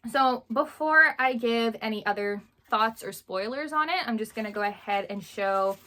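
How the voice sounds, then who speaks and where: A woman's voice: on the high side, normal speed, bright, forceful tone. A young woman in casual book-review chat, chatty and rambling, sounding a little stuffy because she is under the weather. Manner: chatty, rambling, laughs often. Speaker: book vlogger.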